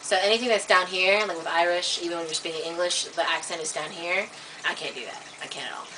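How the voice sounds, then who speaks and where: A woman vlogging in a casual, rambling monologue to camera, full of "like" and "um", getting animated and high when worked up. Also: fast. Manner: chatty, filler-heavy, many "like" and "um" restarts. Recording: distant webcam mic with faint hiss.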